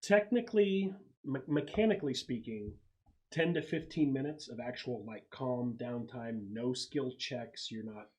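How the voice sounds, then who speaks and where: A man's voice: medium pitch, a little slow, a little mellow. Casual man improvising as game master. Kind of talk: narrating a tabletop roleplaying game.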